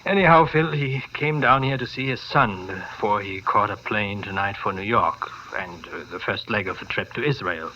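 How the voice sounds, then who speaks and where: Bright-voiced, anxious elderly doctor. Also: male voice, fairly low pitch. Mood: somber, pleading. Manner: urgent, hesitant, halting. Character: anxious, kindly elderly male neighborhood doctor. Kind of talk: 1950s radio drama acting. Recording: muffled, noisy vintage radio recording.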